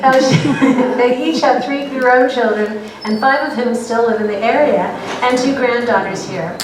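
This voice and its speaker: A woman presenting an event, reading a formal introduction of the guest in a measured tone inside a museum gallery. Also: a little high, recorded from a distance, noisy background. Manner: measured, reads a list of credits. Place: large indoor museum gallery. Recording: echoey hall, voice from afar.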